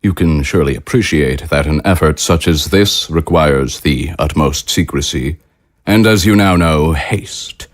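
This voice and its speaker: An actor playing a gracious, self-important head of state in an audio drama, with formal, courtly speech. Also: male voice, extremely low pitch, measured pace. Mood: grandly reassuring.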